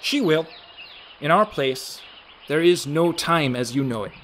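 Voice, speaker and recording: A man plays an enigmatic fairy keeper of the woods in a radio drama. His voice sits fairly high for a man and moves with an unhurried, gentle lilt. Faint birdsong surrounds him. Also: lively intonation, a little hiss or hum. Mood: gently persuasive. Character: mysterious old male fairy guardian of an Irish forest, gentle, cryptic. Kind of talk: radio play acting. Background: birdsong, woodland ambience.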